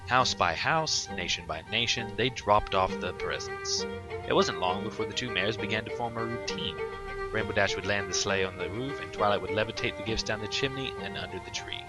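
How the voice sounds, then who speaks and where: A man narrates fan fiction as a co-host, reading aloud in a fairly low voice. Loud music plays behind him throughout. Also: low-quality recording. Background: loud background music.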